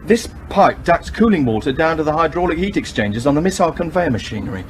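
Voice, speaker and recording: An actor in a radio drama playing a practical, wry submarine technician, speaking in a terse, matter-of-fact way. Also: male voice, fairly high pitch, expressive, fast talker, lightly acted. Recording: noisy, constant background ambience.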